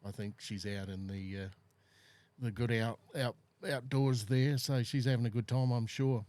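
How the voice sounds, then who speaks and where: A man hosts a relaxed podcast interview in a small studio. He talks in a friendly, chatty way and laughs off his own slips. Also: medium pitch, normal speed, no echo. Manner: friendly, chatty, self-deprecating. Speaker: podcast host. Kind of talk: casual interview chat.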